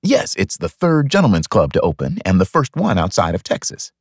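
A confident, flirtatious bachelor from a romance audiobook, played by a male narrator. He teases easily, with a husky, gravelly voice and lively swings of pitch. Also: fairly quick, no room sound, clean studio sound, heavily acted. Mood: friendly. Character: confident, flirtatious bachelor club owner, smooth and teasing.

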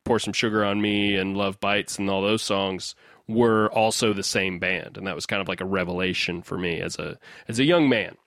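A man's voice: on the low side, a bit bright, dry recording. Dry-voiced enthusiastic man chatting. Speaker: podcast co-host. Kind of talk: casual chat.

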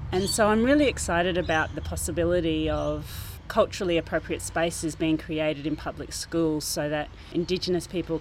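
A woman's voice: sits low, a little hiss or hum. A woman who works as a garden teacher, explaining thoughtfully and earnestly in an informal interview outdoors. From New Zealand. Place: outdoors, under a gazebo in a school garden.

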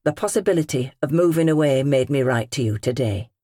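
A woman's voice: rumbling low, lively intonation, brisk, warm tone, no room sound, studio quality. A British woman narrator voicing an earnest, formal young working man reading out his polite letter in an audiobook. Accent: British English.

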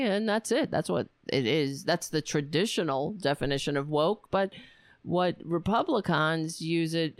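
Woman podcast host, voice going hoarse, slow gravelly delivery, clear dry close mic. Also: low-pitched, no echo. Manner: rambling, tired, talks to her pet. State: losing her voice. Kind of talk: casual solo sign-off chat.

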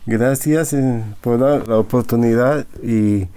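A middle-aged man with a Bolivian accent giving personal testimony as a guest, haltingly, often adding little tag questions. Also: mid-range voice, dark tone, smooth, no echo. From Sucre, Bolivia. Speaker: interview guest.

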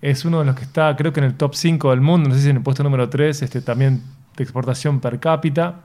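A man's voice: mid-range voice, fairly even intonation, fast talker, dark tone. A man interviewing a guest and asking conversational questions. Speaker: interviewer, podcast host.

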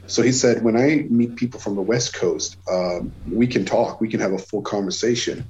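A Swedish man in his forties speaks English with a Swedish accent, his voice sitting fairly low. He chats casually as a guest musician, circling back and restarting his sentences. The sound is dull and lo-fi, like a video call. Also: moderate pace, a little mellow, slight background noise. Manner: rambling, many restarts and repeated words. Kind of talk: casual conversation.

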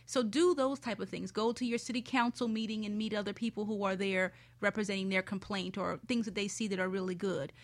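A woman's voice: medium pitch, fairly even intonation, a little fast, few pauses. A woman from Philadelphia giving advice as a panel guest in casual, explanatory talk, encouraging and working through examples. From Philadelphia, United States.

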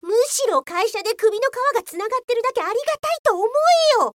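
A woman playing a blunt, scolding software policewoman in a comedic radio drama. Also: very high-pitched, expressive, fast talker, no echo, studio quality. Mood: indignant. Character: feisty Office police woman, scolding, exasperated straight-talker. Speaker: voice actor.

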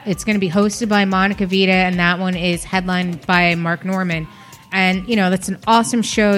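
A woman's voice: on the low side, fairly bright tone. A woman comedian who produces shows, talking casually and frankly on a podcast. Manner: frank, chatty, with fillers.

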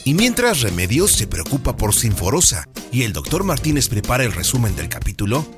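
A man's voice: mid-range voice, big pitch swings, fairly quick. A man with a Mexican accent reading a scripted radio drama announcement over music.

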